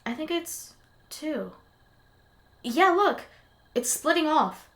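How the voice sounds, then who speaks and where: A woman's voice: high voice, very animated, takes it slowly, fairly bright tone, full character voice. An actress playing a blunt, teasing young woman adventurer in an audio drama. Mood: urgent.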